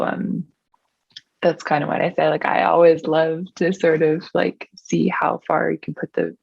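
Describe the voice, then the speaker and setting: Kiwi singer lady chatting in an interview, fry in her voice, muffled lo-fi sound, says like a lot. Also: low voice, darkish tone, no room sound. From New Zealand. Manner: chatty, frequent "like" and "um" fillers. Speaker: singer-songwriter guest. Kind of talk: casual interview chat.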